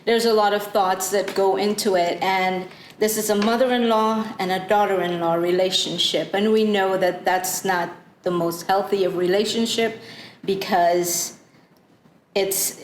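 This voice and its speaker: A woman from Guyana, a church panelist, reflecting on Bible stories in a halting, thoughtful way. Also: mid-range voice. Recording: echoey room with faint noise.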